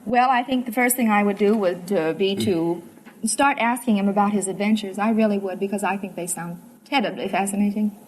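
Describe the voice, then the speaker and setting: A woman's voice: medium pitch, brisk, darkish tone. Female quiz contestant, mid-range voice, emphatic and polite, faintly noisy 1950s recording. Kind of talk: 1950s quiz show chat.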